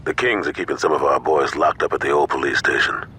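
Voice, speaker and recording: Very deep, grim gang boss. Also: male voice, fast, background noise. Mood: firm. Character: grim, authoritative male gang boss giving orders. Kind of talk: video game cutscene acting.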